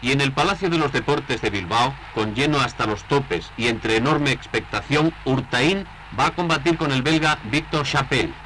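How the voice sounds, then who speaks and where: A man's voice: mid-range voice, moderate pace, few pauses, bright, forceful tone. Vintage Castilian newsreel narrator, male, noisy soundtrack. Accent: Castilian Spanish. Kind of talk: scripted 1960s Spanish newsreel narration.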